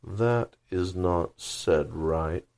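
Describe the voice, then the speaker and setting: Man voicing a slow, drawling, contrary caterpillar. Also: low voice, gravelly, a little mellow, exaggerated voice. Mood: curt, languid. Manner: drawn-out, terse, decided delivery. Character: slow, curt, contrary caterpillar smoking a hookah. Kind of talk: children's storybook character voice.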